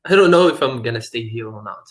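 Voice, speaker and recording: A man's voice: medium pitch, lively intonation, fast talker. A young man from Morocco chatting casually on a video call, friendly and laughing often. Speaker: English learner.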